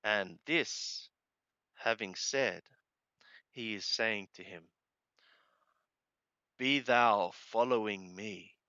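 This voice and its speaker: Very slow, deliberate man reading scripture aloud. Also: on the low side, bright, forceful tone, clear, resonant tone, no room sound, lo-fi sound. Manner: deliberate, halting, emphatic phrasing with archaic wording. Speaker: Bible reader and translator. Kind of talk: reading aloud phrase by phrase, with literal translation.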